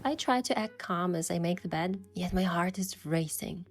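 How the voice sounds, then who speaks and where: A woman playing a sincere, easily flustered young heroine, narrating and speaking in a romance story with soft expression. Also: fairly low pitch, normal speed, background noise, lightly acted. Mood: narrating, nervous. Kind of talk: first-person narration and dialogue in a romance story. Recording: music behind the voice.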